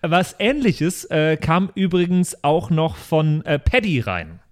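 Higher-pitched man hosting casually. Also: very animated, slow. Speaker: podcast host. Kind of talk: casual chat.

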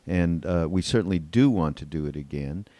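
An older man hosting a podcast in casual chat, with a meandering, halting delivery. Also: deep voice, mellow, no echo. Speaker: podcast host.

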